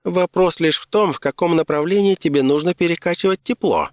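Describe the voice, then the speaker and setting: A man voicing an excitable, brainy engineer, animated and full of jargon, in an audiobook. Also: fairly high pitch, a little fast, no room sound, voice pushed up, close to an everyday voice. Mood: eager explaining. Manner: animated, technical, enthusing. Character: excitable male tech engineer, eager, brainy, jargon-loving. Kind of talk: character voice in audiobook.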